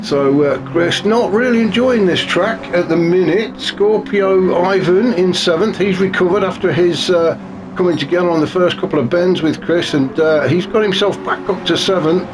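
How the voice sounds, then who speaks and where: A man commentating a sim race in a chatty, wry way, his voice a bit gravelly and snuffly from a cold. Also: high-pitched, moderate pace. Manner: chatty and wry, many fillers. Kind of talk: live sim racing commentary. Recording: noisy with car engine sounds behind.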